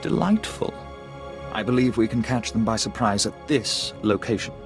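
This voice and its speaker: A refined, quietly cruel military schemer in a sci-fi game. His voice is fairly low, precise and smug, under loud music. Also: animated, normal speed. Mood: gleeful, scheming. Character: refined, coldly calculating male Imperial lieutenant, smugly cruel. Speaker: military officer character. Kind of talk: video game voice acting.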